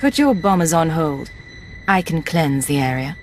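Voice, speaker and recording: A woman's voice: deep voice, lively intonation, moderate pace, soft background music. An actress playing a cool, blunt female Imperial agent in a video game, curt and commanding.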